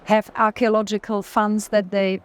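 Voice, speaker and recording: A woman talks through art in a medium-pitched voice, unscripted and conversational. She often pauses to search for a word, then rephrases and carries on. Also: little pitch movement. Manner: hesitant, searching for words, rephrasing. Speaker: art collector in a gallery conversation. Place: art fair.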